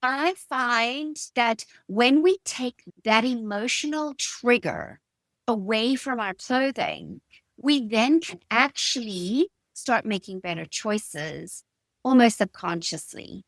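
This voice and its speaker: A woman's voice: on the high side, sing-song, slow, a bit bright, no echo. South African woman in conversation, close and dry. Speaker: guest, stylist and designer. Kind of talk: conversational interview answers with personal anecdotes.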